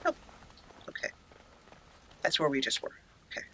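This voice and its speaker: A woman's voice: normal speed, slight background noise. A woman hosting a gaming video, giving let's play commentary and roleplaying her character's lines.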